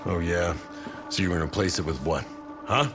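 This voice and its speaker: Gravelly, low-voiced military squad leader. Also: male voice, brisk, over loud music. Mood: bitter, confrontational. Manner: terse, commanding, profane. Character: gruff, hard-driving American military squad leader. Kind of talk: cinematic video game acting.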